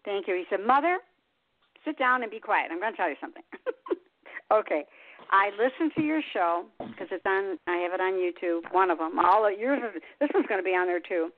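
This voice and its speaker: A woman's voice: medium pitch, expressive, fairly quick. An older woman hosting a radio show, chatting in an easy, flowing, confiding way. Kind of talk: casual interview chat. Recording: dry phone-line sound.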